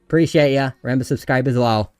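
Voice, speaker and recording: A man's voice: medium pitch, fast, a little mellow, clear, resonant tone, dry recording. A man hosting a reaction channel in casual, slangy, sweary chat.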